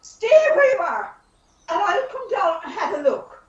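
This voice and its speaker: A woman's voice: very high-pitched, big pitch swings, unhurried, highs cut off, full character voice. A woman acting a flustered, lovesick horse thief in an amateur radio play, dramatic and protesting. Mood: nervous, evasive. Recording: roomy, distant mic with hiss.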